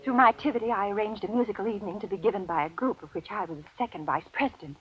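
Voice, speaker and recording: A woman's voice: medium pitch, racing, slightly rough, natural voice. An actress in vintage radio drama playing a tense, proper, guarded woman who is easily rattled, speaking with prim, nervous insistence. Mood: composed narration. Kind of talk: 1940s-50s radio drama acting, dialogue and narration. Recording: dull vintage radio recording, faint music.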